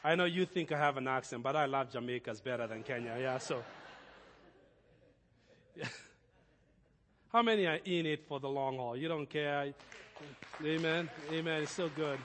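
Man, slightly high voice, halting with restarts, church host, big reverb. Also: a bit bright, a little hiss or hum. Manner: halting, many restarts and fillers. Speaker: pastor hosting the service. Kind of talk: church introduction. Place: church sanctuary. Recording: long reverb of a church hall.

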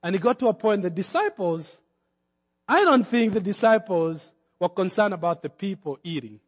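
A man from Kenya preaches in a high, ringing voice. His sermon climbs to forceful emphatic peaks, and he acts out the people in his stories. The sound carries a long hall reverb around him. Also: animated, normal speed, husky. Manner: preaching with rising emphatic peaks and acted-out quotes. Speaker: pastor.

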